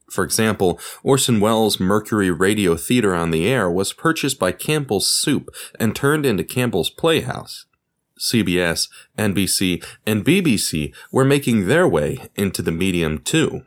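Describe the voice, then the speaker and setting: A man presenting a podcast as its host, reading scripted narration in an explanatory way. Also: on the low side. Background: music.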